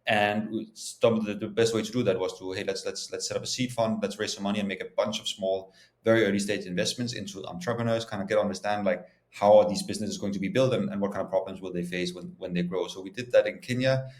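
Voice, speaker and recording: A man's voice: lowish voice, fairly even intonation, fast talker. A man from Denmark speaking informally and explaining things as a guest in an interview. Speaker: startup founder and CEO, interview guest. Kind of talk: conversational interview answers. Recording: echoey, roomy sound.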